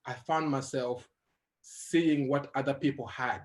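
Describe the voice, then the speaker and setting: A young adult man who grew up in Uganda, telling his life story as a guest in an interview, animated and laughing as he goes. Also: mid-range voice, measured pace. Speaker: guest motivational speaker.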